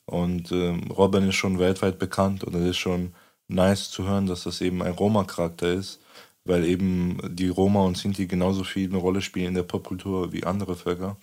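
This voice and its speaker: Very deep, flat-voiced man, halting. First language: Romani. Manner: halting, searches for words. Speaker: guest. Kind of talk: casual conversation.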